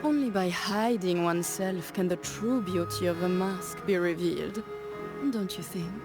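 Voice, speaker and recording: An actress playing an elegant, teasing mask-shop madame in a video game, with a coy, theatrical manner. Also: female voice, lowish voice, music in the background, close to an everyday voice. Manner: coy, drawn-out, playful. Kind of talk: video game character acting.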